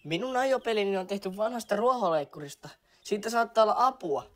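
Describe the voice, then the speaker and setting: A woman's voice: medium pitch, expressive, natural voice. A woman voicing a sensible, fair-minded young hero chick in a cartoon fandub.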